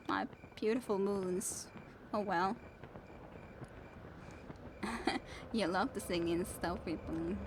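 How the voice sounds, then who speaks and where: A young woman streaming a game and chatting with viewers in a soft, musing way; English is not her first language and her voice is worn out from long reading. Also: medium pitch, slight background noise, low-quality recording. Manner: soft, musing, self-talking. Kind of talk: casual gameplay chat with viewers. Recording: game music in background.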